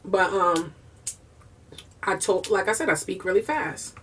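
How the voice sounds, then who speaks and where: A woman chatting casually while eating, talking fast with a Southern US and Puerto Rican-tinged accent and slipping into bits of song. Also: mid-range voice, expressive, far from the mic, a little hiss or hum. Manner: breaks into bursts of singing. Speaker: vlogger and mother.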